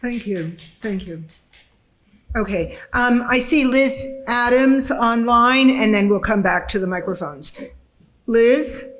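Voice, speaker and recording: A woman chairing a school board meeting, talking in a measured, halting way with many fillers. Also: a little high. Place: meeting room.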